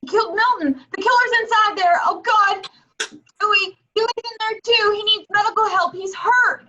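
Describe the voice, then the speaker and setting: A woman's voice: very high-pitched, moderate pace, bright, forceful tone. A woman playing a brash woman reporter in a horror script reading, on dull lo-fi audio. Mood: panicked.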